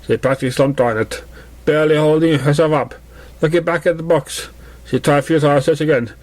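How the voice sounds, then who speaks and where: A man's voice: fairly high pitch, a little fast, a little mellow, no room sound. A man reading a story aloud as an amateur narrator, now and then stumbling over the words. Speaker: amateur fan fiction reader.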